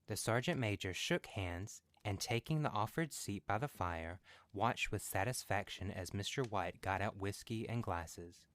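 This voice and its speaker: A man's voice: lowish voice, measured pace, few pauses, fairly bright tone. A man reading a literary story as scripted narration for a podcast.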